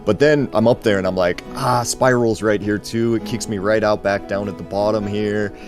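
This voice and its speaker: A man's voice: lowish voice, steady tone, moderate pace, soft background music. A man, a racer chatting casually after a race, rambling and repeating himself as he restarts his sentences. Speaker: tournament racer. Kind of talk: casual post-race chat.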